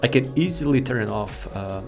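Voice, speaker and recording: A man's voice: medium pitch, animated, brisk. A man giving casual, rambling commentary as he shows off a modded game. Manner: rambling, informal, easily sidetracked. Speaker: gaming video host. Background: faint game music.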